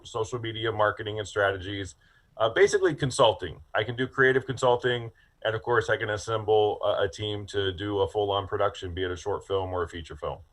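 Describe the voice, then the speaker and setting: A man's voice: on the low side, a bit bright. A man in his forties from Ohio, a guest in an interview, chatty and self-amused, rambling through his answers. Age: 40s. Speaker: film director guest. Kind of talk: casual interview chat.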